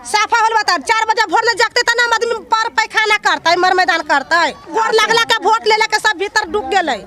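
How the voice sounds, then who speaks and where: A woman's voice: very high voice, moderate pace, crowd noise. A woman with a rural Bihari accent in a street interview, complaining emphatically and repeating herself as she goes. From Bihar, India. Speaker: villager being interviewed. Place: outdoors in a village settlement.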